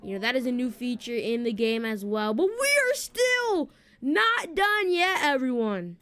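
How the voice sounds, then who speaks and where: Animated high-voiced woman presenting a game. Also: normal speed, a little hiss or hum. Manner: animated, informal, addresses viewers directly. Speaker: video game streamer and presenter. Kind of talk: casual gameplay walkthrough commentary.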